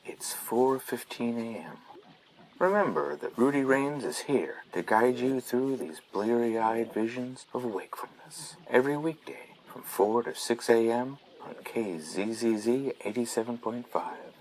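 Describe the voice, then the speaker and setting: A man playing a dreamy, soothing overnight DJ on an ambient radio station, speaking in a hushed, mock-serene, drawn-out way. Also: medium pitch, a little hiss or hum, natural voice, poor audio. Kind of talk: parody late-night ambient radio announcing.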